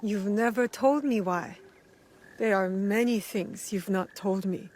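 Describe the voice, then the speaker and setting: A young woman shinobi played by an actress in a dramatic video game. She sounds earnest and dutiful, with a medium-pitched voice and a reserved daughterly manner. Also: a little slow, a little mellow, close to an everyday voice.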